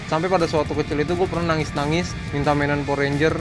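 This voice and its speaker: A man's voice: fairly high pitch, little pitch movement, quick pace. A young man, hosting a vlog in casual, nostalgic chat. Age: young adult. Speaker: YouTube vlog host. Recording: background music behind the voice.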